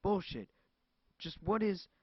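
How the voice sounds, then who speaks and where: A man voicing a frightened, furious woman who is cornered by strangers, with a shrill, panicky delivery. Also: unhurried, no echo, close to an everyday voice. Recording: dull lo-fi dry recording.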